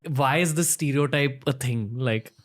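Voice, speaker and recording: A man's voice: mid-range voice, unhurried. Curious man from India asking questions. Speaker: podcast host. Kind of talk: casual interview questions. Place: studio in Mumbai.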